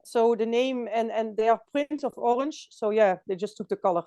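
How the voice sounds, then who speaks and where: A woman's voice: a little high, normal speed, mellow, smooth, dry recording. A woman from France with a Dutch accent, chatting as a guest on a video call, often laughing and restarting her phrases. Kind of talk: casual online chat.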